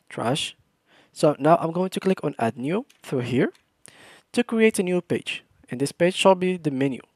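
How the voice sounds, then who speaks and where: A man walking viewers step by step through a software tutorial over a screen recording, in plain instructing speech with slightly non-native phrasing. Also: mid-range voice, no room sound. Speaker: tutorial host.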